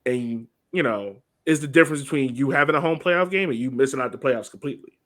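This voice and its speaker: Guy cohosting a soccer podcast, average pitch, just chatting casually, pretty informal. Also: quick pace, a bit bright. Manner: informal, conversational. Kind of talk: casual sports chat.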